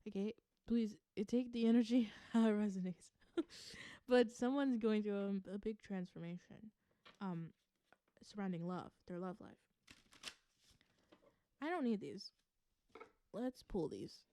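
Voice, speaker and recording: A woman from the American South giving an intuitive tarot reading to viewers. She gives earnest advice, repeats phrases for emphasis and laughs softly. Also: medium pitch, measured pace, pauses often, a little hiss or hum. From Southern United States. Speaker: tarot reader.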